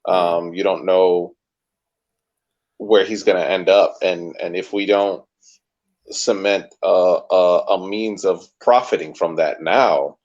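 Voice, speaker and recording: Brief: deep male co-host, stumbling and repeating, muffled lo-fi recording. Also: fairly even intonation, darkish tone. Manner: halting, repeats words. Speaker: podcast co-host. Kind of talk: casual sports chat.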